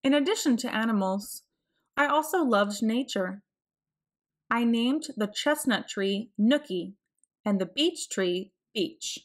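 A woman with a fairly high voice narrates a children's picture book. She reads in an expressive storytelling style, phrasing each line clearly for young listeners. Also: slow. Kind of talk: read-aloud storybook narration.

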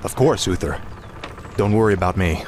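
An actor playing a confident, dutiful young warrior prince, informal and earnest, in a fantasy game. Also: male voice, low-pitched, animated, normal speed, a little mellow, slight background noise. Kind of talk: fantasy game dialogue acting.

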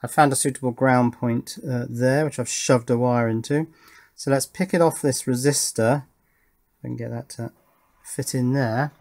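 A man's voice: medium pitch, steady tone, moderate pace, darkish tone, no echo, studio quality. A middle-aged man giving casual, matter-of-fact hands-on narration as an electronics hobbyist, musing aloud as he goes. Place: indoor home workbench.

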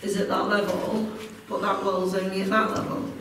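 A man's voice: sits high, flat delivery, normal speed, fairly bright tone, a little hiss or hum. A man chatting and wondering aloud while exploring a cave on a ghost hunt. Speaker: ghost hunter. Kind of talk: casual chat on a ghost hunt. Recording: echoey cave, distant from camera.

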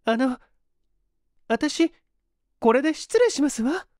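A man's voice: very high voice, very animated, takes it slowly, darkish tone, no room sound, clean studio sound, pitched above the performer's own voice, exaggerated voice. A man voicing a refined, proud, careworn young working woman in a dramatized audiobook, with reserved, ladylike politeness. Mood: hesitant, withdrawing.

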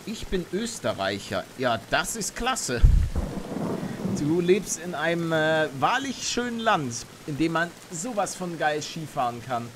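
A young man talks freely on a live game stream, reading chat aloud and reacting with bursts of excitement. His voice sits a little high for a man and comes through a close studio mic. Faint rain from the game hangs in the background. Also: measured pace, background noise. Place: home streaming room, indoors. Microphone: studio mic on arm near face.

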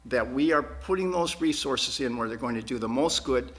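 A man answers questions as a political candidate in a studio forum. His delivery is earnest and emphatic, with words repeated for stress. His pitch sits in an ordinary male range. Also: expressive, normal speed, a bit bright. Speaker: school board candidate. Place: public access TV studio.

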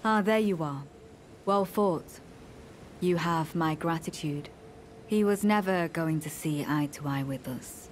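A low-pitched woman knight speaks with solemn, formal composure. She is a devout zealot from a fantasy video game, coolly ruthless in every measured phrase. Faint ambient noise sits behind her. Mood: grave, measured. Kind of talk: scripted fantasy game dialogue.

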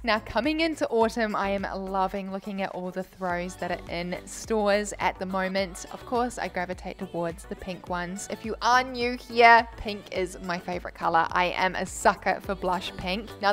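A young woman vlogger narrating a shop-with-me voice-over in a chatty, gushing way. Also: medium pitch.

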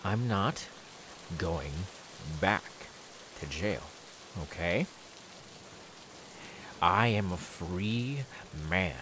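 A man's voice: deep voice, expressive, extremely slow, long pauses, noisy background, full character voice. A man voicing a menacing, taunting killer simmering with anger in an ASMR audio roleplay, drawing out repeated words. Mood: angry, threatening.

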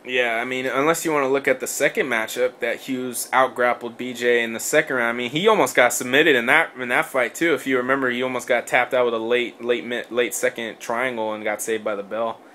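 A man co-hosting a casual podcast, rambling through his points with lots of fillers and false starts. His voice is bright and forceful at a middling pitch. There is a faint hiss of noise behind him. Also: steady tone, brisk, hardly any pauses. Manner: rambling, many fillers and restarts, swears. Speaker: podcast co-host. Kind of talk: casual sports chat.

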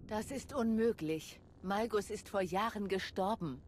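A woman's voice: medium pitch, a little slow, darkish tone, a little hiss or hum, close to an everyday voice. An actress playing a composed, resolute female commander in a game, speaking with controlled assurance. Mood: disbelieving. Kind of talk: dramatic game voice acting.

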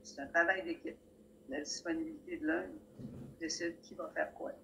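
A woman's voice: sits low, fairly even intonation, moderate pace, creaky, fairly bright tone, a little hiss or hum, poor audio. An older woman from Montreal, sharing wry personal anecdotes as a guest speaker on a video call from a library room. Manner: plainspoken storyteller, wry asides. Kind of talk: personal anecdotes at a community talk. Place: library room with bookshelves, indoors. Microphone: video call, laptop camera.

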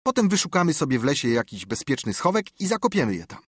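A man voicing an eager, bossy boy adventurer who talks with raised, breathless enthusiasm and a husky tone. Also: fairly high pitch, big pitch swings, fast, dry recording, clean studio sound, pitched above the performer's own voice.

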